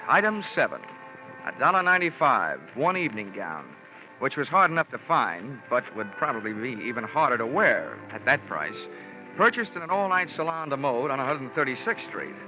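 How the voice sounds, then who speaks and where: An actor playing a hard-boiled, wry American insurance investigator with clipped sardonic delivery in 1940s radio style. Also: male voice, mid-range voice, natural voice. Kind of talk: 1940s radio acting, detective narration. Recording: muffled old radio recording.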